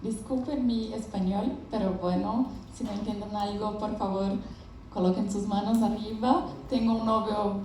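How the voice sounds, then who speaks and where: A woman's voice: mid-range voice, fairly even intonation, moderate pace, a little mellow, a little hiss or hum. Brazilian woman presenting in an echoey hall. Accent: Brazilian Portuguese. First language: Portuguese. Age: young adult. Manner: friendly, laughing often, searching for words. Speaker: conference speaker. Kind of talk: conference presentation. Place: large event hall with audience. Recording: echoey hall from a distant mic.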